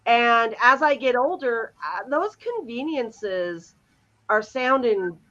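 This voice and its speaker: An enthusiastic woman in her fifties chats freely as a podcast co-host, her voice sitting fairly high. The sound comes through a dull, lo-fi video-call line. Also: expressive, slow pace, a bit bright. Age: 50s. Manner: enthusiastic, chatty. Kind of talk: casual chat. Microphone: remote video call.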